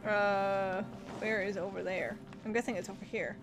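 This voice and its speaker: A woman's voice: mid-range voice, steady tone, normal speed, over music. A woman giving casual, informal, reactive commentary while playing a video game. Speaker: gaming video creator. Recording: clear background noise and clicks.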